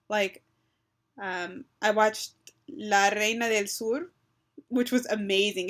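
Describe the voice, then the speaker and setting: A woman's voice: mid-range voice, a bit bright. A woman in her twenties from Texas, talking casually to her webcam with lots of "um" and "like" and a few Spanish phrases, recorded in a small bedroom on a built-in webcam mic. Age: 20s. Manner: chatty, frequent "um" and "like", mixes in Spanish phrases. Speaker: vlogger. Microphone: built-in webcam mic, close.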